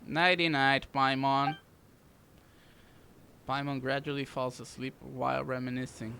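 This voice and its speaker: A streamer giving casual, halting commentary while playing a game, reacting aloud to the dialogue. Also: mid-range voice, little pitch movement, vocal fry, bright, forceful tone, slight background noise.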